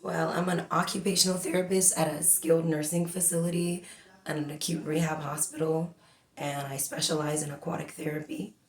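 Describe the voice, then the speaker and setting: Low-voiced chatty woman from the Bahamas. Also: flat delivery, a little fast, echoey room. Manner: chatty, talks a lot with many fillers. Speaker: guest. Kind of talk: casual interview.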